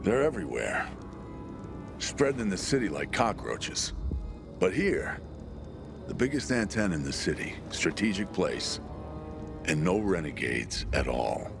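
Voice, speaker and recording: An actor playing a gruff, loyal military lieutenant who talks bluntly and rallies his squad in a video game cutscene. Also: low voice, big pitch swings, a little slow, long pauses, music in the background, heavily acted. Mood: brooding. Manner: commanding, comradely, blunt and profane.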